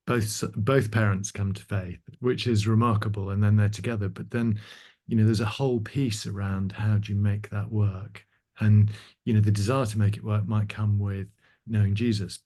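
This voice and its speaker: Mellow low-voiced man, reflective. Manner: reflective, rambling. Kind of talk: sharing in a group discussion.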